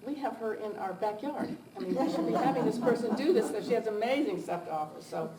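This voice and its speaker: A woman's voice: fairly high pitch, lively intonation, fast talker, a loud crowd. Chatty woman in a very echoey room. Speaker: event host introducing a speaker. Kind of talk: informal spoken introduction. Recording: echoey room, distant mic, faint noise.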